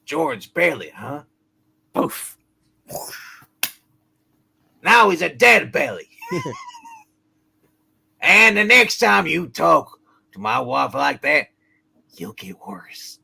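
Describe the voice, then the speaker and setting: A man's voice: on the high side, very animated, drawn-out words, gravelly, pauses often, sharp tone, husky, exaggerated voice. A man acting a belligerent, bullying husband picking a fight in a hammy amateur radio play. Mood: menacing, aggressive.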